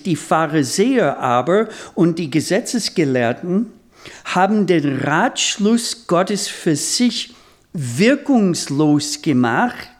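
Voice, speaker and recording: A man preaching a sermon in a language that is not his mother tongue, deliberate and halting as he rephrases himself. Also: high voice, a little mellow. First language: not German; speaks German as a second language.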